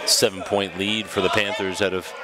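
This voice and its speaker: A man's voice: sits low, flat delivery, moderate pace, over a noisy crowd. A man commentating a basketball game in a casual, chatty way. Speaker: play-by-play commentator. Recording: loud gym noise behind.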